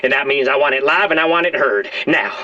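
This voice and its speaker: An actor playing a volatile, foul-mouthed, aggrieved hostage-taker in an audio drama, ranting and repeating himself. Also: male voice, medium pitch, lively intonation, fast, pushed and bright. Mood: menacing, furious. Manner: ranting, repetitive, profane, abruptly apologetic. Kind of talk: audio drama phone-call acting. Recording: dull, band-limited radio or phone sound.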